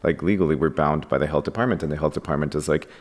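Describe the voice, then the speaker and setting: A man's voice: a bass voice, racing, big-room sound. A man who founded a coffee company, a podcast guest who recounts events by acting out what he said back then, at a studio microphone. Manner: animated, acts out his own past lines. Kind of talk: casual podcast conversation. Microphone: boom-arm studio microphone.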